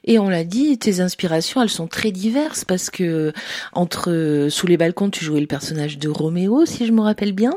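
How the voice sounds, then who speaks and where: A woman hosts a radio conversation in a slightly low voice. She asks her guest open questions in a friendly, easygoing way. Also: animated, moderate pace, warm tone. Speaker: radio interviewer. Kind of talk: radio interview.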